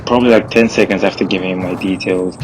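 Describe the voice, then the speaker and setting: A man's voice: low-pitched, fairly even intonation, fast, noisy background. A young man casually telling a story, rambling and filling it with "bro" and "like". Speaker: gaming vlogger.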